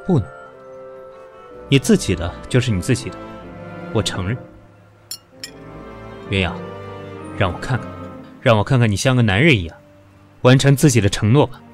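A man's voice: on the low side, moderate pace, pauses often, faint music behind, lightly acted. An actor playing a composed, sardonic, stern professional man in an audio drama, dry and commanding. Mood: cool, challenging. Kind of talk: Chinese audio drama acting.

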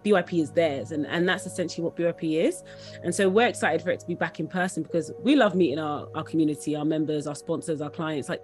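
A young woman speaking conversationally and enthusiastically as an interview guest on a video call, repeating words for emphasis. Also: lowish voice. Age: young adult. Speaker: interview guest, company founder.

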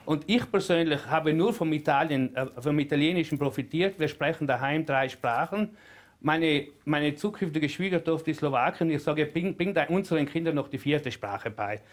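Emphatic, rather high-voiced man. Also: moderate pace. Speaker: election candidate, farmer. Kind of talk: TV panel debate answer. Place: TV studio.

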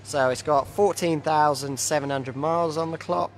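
A man in his thirties with a slightly croaky voice from a cold, casually presenting stock as a dealer on a live walkaround. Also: fairly high pitch, fairly even intonation, moderate pace. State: has a cold. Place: large indoor motorbike warehouse showroom. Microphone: handheld phone. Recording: noisy handheld phone in warehouse.

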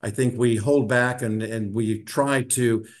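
An older man with an American accent giving a measured, halting personal account as a panelist on a video call. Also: medium pitch, steady tone. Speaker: panelist sharing personal story. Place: indoor room, video call. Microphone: video call microphone.

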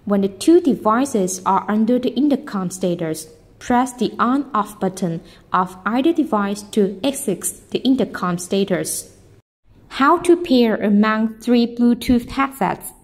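A woman's voice: fairly high pitch, expressive, takes it slowly. Woman reading scripted product instructions. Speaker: instructional video narrator. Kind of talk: scripted product tutorial narration.

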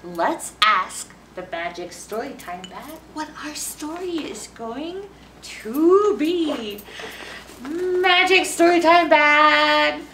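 A middle-aged American woman presenting a children's story time with exaggerated expression, as a librarian talking to young children. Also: sits high. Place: indoor library room, seated on a couch. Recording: roomy, distant mic, faint noise.

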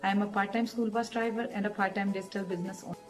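Lady talking plain and earnest at home, soft music underneath, middle pitch. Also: little pitch movement, racing. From India, Punjab. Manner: earnest, plain, speaks in short listed phrases. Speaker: part-time school bus driver and online business owner. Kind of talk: promotional testimonial. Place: living room at home, daughter beside her. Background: soft background music.

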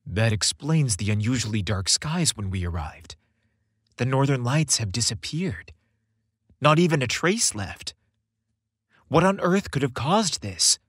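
A man's voice: medium pitch, very animated, measured pace, slightly rough, dry recording, studio quality. A man voicing a witty young man in a story, in a conversational, wry manner. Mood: puzzled. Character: young man, Santa's witty scientist son.

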